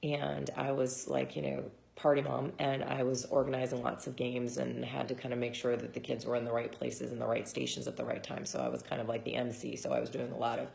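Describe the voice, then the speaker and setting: A woman in her thirties, hoarse from shouting, chatting casually to her followers in a rambling way full of fillers. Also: extremely low pitch, little pitch movement, brisk, hardly any pauses. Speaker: vlogger and mom. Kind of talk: casual chat to camera.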